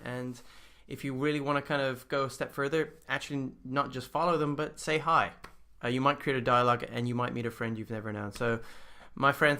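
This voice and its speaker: A man's voice: medium pitch, pushed and bright. A man in his thirties hosting an interview show, chatting casually and giving upbeat, motivational talk aimed at viewers. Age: 30s. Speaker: podcast host.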